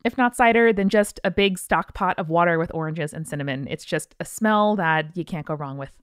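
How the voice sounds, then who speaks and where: A woman's voice: lowish voice, brisk, smooth, dry recording. Woman podcast host, close dry sound. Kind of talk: casual scripted-feeling podcast chat.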